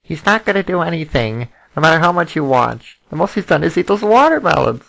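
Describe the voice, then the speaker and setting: A man's voice: a little high, very animated, fairly quick, creaky, a bit bright, full character voice, rough recording. A man voicing an obsequious cartoon snail henchman to a king in an amateur fan dub. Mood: skeptical. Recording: music behind the voice.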